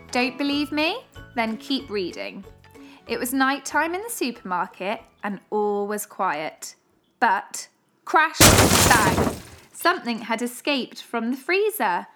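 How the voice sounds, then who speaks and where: A woman reading a children's storybook as an expressive storyteller. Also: on the high side, a little slow. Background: music.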